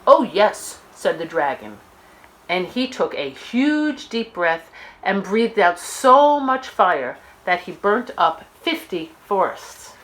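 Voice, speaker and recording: A woman's voice: on the low side, sing-song, slow pace, a bit bright, low-quality recording. A woman storyteller reading a children's book aloud, expressively and with dramatic emphasis.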